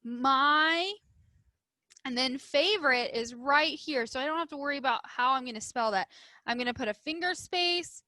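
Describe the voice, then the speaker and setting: A woman's voice: high voice, normal speed, bright, forceful tone, no echo, studio quality. A woman teacher reading aloud and leading a writing lesson for young children, explaining simply and asking them questions. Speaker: primary school teacher.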